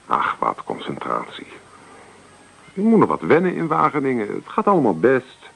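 An actor playing a restless, evasive young student and farm son in a Dutch radio play, brushing off worries breezily. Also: male voice, mid-range voice, wildly swooping pitch, moderate pace, gravelly, a bit bright, a little hiss or hum, full character voice. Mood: defensive, dismissive. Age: young adult.

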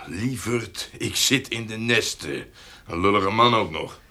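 An actor in a radio play as a gruff, jealous middle-aged husband and father, blunt and scornful. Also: lowish voice, expressive, unhurried, sharp tone. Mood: suspicious, grumbling.

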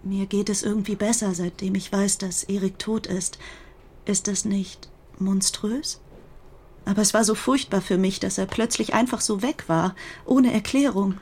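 Grieving sister, husky voice, middling pitch, earnest and confiding, measured radio-play delivery. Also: female voice, fairly even intonation, a little mellow. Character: grieving, protective older sister giving a statement. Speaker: actor in a radio crime drama. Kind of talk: German radio play acting, recounting testimony.

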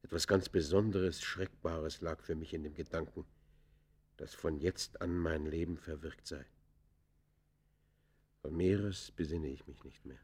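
An actor playing a brooding, defiant murderer who confesses in deliberate, literary German radio play style, moving between hushed and vehement delivery. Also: male voice, rumbling low, steady tone, husky, full character voice. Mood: haunted, brooding.

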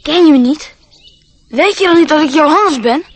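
High-voiced dreamy young boy. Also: lively intonation, moderate pace. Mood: earnest, dreamy. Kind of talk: radio play acting.

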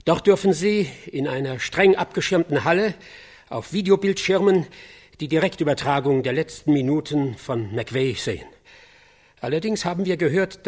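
An actor playing a solemn American field reporter in a radio drama, husky and halting as he describes the scene. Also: a little high. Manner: halting, solemn, pausing between phrases. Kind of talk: acted on-location news report in a radio drama.

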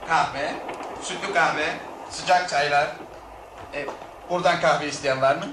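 An actor playing a talkative, suspicious and self-important coffee waiter on a train in a radio drama. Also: male voice, fairly high pitch, steady tone, measured pace, natural voice. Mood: obliging. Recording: noisy radio play recording.

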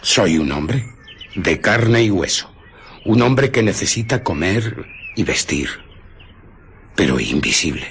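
A man's voice: sits low, sing-song, slow pace, creaky, long pauses, a bit bright, slight background noise, exaggerated voice. An actor playing a scornful, impatient invisible man in a radio drama, sardonic and commanding. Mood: matter-of-fact.

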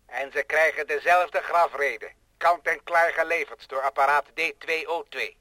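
A slow, pompous, sharp-voiced machine. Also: male voice, a little high, fairly even intonation, no echo. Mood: coldly prophetic. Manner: solemn, pompous, rhetorical. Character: cold, boastful thinking machine, contemptuous of humans. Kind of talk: declamatory Dutch radio play acting.

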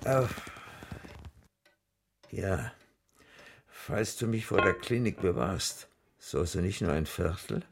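Frail old widower, dying, raspy gravelly voice, swinging pitch, halting, morbid, pleading, radio drama. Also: male voice, expressive, a little hiss or hum, full character voice. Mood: eager, halting. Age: older. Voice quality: husky, breathy, gravelly. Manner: halting, hesitant.

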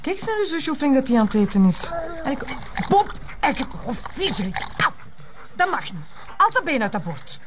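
A woman's voice: high voice, very animated, a little fast, over music. An actress playing a busy mother of small children, coaxing and chiding with a Flemish accent in naturalistic television drama dialogue. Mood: coaxing, harried.

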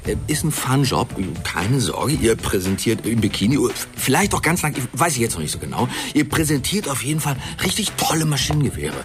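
Casting: male actor as upbeat, wheedling adult-film director, mid-pitched and lively, radio crime drama. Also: brisk, few pauses, close to an everyday voice. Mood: breezy persuasion. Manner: breezy, wheedling, chummy. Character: slick, upbeat, sleazy porn film director. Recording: music behind the voice.

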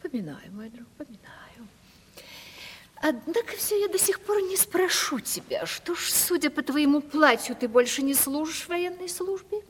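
An actress playing an affected, genteel, ageing rich landowner aunt in a Russian radio comedy play. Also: female voice, sits high, very animated, moderate pace. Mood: gracious. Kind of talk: 1970s Russian radio stage drama. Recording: long hall reverb.

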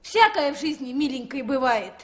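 A woman's voice: sits high, big pitch swings, moderate pace. An actress in a 1960s Soviet radio play as a cheerful fortune-teller mother, folksy and coaxing. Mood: coaxing, soothing.

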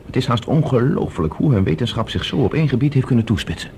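An actor playing a calm, reasoning space expedition leader with a measured, decisive way of explaining, in a science-fiction radio drama. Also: male voice, medium pitch, vocal fry. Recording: noisy old radio drama recording.

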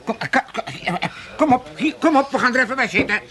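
A nosy old Amsterdam father in a radio comedy. His male voice sits high and swings widely, with a bright, forceful edge. He blusters, teases and repeats himself in folksy banter. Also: lively intonation, fast talker, full character voice. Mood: coaxing, nosy. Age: older. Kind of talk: 1980s radio comedy acting.

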